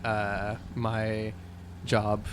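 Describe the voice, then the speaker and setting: Slow, halting man at a gaming table. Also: on the low side, completely flat delivery, crowd noise. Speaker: tabletop game player. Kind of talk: casual in-character discussion. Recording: faint hum, slight background noise.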